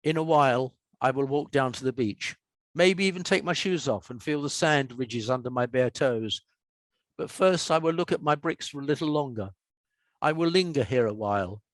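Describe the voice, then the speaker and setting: An older man, a writer, speaking in a measured, explanatory and reflective way as a podcast guest. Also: medium pitch, dry recording. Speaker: guest author and scriptwriter. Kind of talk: reflective interview talk, with a short reading from own prose.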